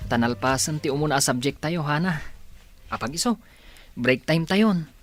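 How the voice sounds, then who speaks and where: A man's voice: a little high, normal speed, music in the background, lightly acted. Easygoing male college classmate played by a man. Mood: friendly. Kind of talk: audio drama dialogue.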